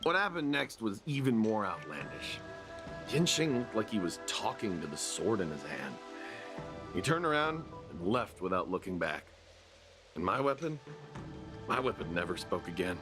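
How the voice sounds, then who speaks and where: A man playing a dazed, bewildered soldier in a video game, stammering and halting as he recounts events. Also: lowish voice, very animated, over music. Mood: bewildered, shaken. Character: shaken, bewildered young male soldier recovering from possession. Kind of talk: dramatic video game dialogue.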